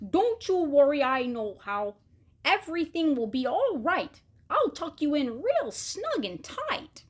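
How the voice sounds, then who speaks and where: A woman's voice: high voice, big pitch swings, slow pace, pitched above the performer's own voice, exaggerated voice. A woman voicing a confident, reassuring motherly cow in a storybook, in an emphatic sing-song. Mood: eager, reassuring. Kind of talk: children's storybook read-aloud.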